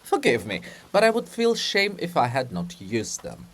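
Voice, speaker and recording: A man's voice: medium pitch, huge pitch swings, moderate pace, a bit bright. Man acting an emphatic, scheming scholar statue. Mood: defiant. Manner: emphatic, rhetorical.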